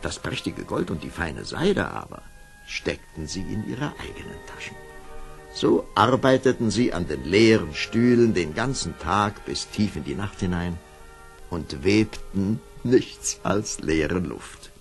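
A storyteller in a fairy-tale radio play, expressive and richly varied. His voice sits low, with a gravelly creak. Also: measured pace, faint music behind, exaggerated voice. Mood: storytelling. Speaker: narrator.

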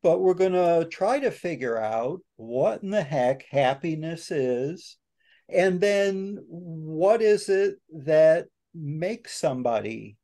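A man in his sixties hosts a podcast panel over a video call, talking slowly in an easygoing, joking way. His voice is dark and mellow, sitting a little high for a man. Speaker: podcast host. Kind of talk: casual panel discussion. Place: home office, video call. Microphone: webcam or computer mic on video call.

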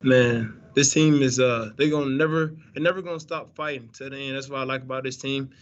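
A man's voice: medium pitch, fairly even intonation, fairly quick, highs cut off. A young man, a college quarterback, answering post-game press questions in a laid-back way with lots of fillers and restarts, over a video call. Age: young adult. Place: indoor press room, branded backdrop. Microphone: video call, webcam.

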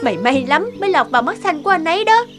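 A woman's voice: very high voice, very animated, racing, music in the background. A woman voicing a brash, pushy, materialistic young woman in a cartoon. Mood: pushy scorn. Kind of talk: cartoon dubbing.

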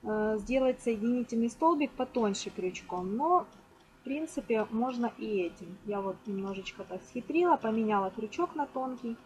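A woman's voice: a little high, expressive, moderate pace, a little mellow. A woman informally explaining a hands-on craft step by step, as a tutorial host. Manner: fond of diminutives, explains step by step. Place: indoors at a table. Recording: noisy amateur home recording.

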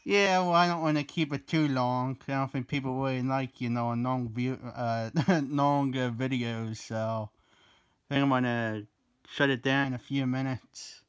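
A man hosting a casual chat over a push-to-talk app, relaxed and easygoing. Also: medium pitch, moderate pace, clean tone. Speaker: video host.

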